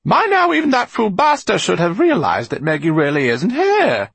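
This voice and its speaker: A man voicing an indignant woman, pitched up high. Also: huge pitch swings, moderate pace, vocal fry, a bit bright, slightly rough, dry recording, full character voice. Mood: defiant. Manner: indignant, haughty. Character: indignant, sharp-tongued, bookish middle-aged woman. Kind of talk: audiobook character acting.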